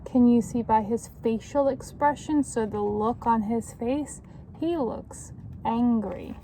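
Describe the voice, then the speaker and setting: A woman tutor reading a children's book aloud in an instructive way, pausing to put questions to young listeners. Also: fairly high pitch, warm tone, slight background noise, low-quality recording.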